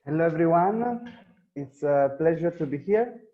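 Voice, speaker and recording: A man's voice: on the high side, lively intonation, unhurried, phone-quality sound. A man in his twenties from Italy giving a short, polite introduction on a video call. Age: 20s. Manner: brief, polite self-introduction.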